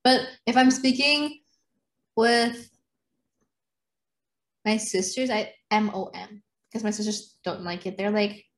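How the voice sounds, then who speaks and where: A woman's voice: mid-range voice, steady tone, slow, pauses often. A young woman in casual chat with a friend, rambling with restarts and laughing often.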